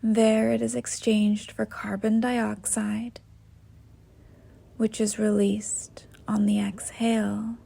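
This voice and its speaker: A woman guiding a yoga nidra meditation in a soft, breathy, half-whispered voice, gentle and unhurried with long pauses. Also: medium pitch, level tone. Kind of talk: guided meditation, slow soothing instruction.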